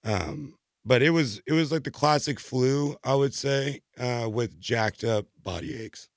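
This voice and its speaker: Hoarse young American man, dry close sound. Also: medium pitch, a little slow, no room sound. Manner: rambling, slangy. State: hoarse, recovering from illness. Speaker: podcast co-host. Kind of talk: casual chat.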